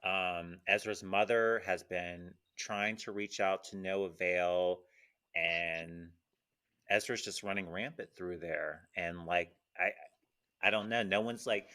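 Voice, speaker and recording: A man's voice: sits low, takes it slowly, sharp tone. A man hosting a casual panel chat in an informal, conversational style. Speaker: host of a pop culture panel show.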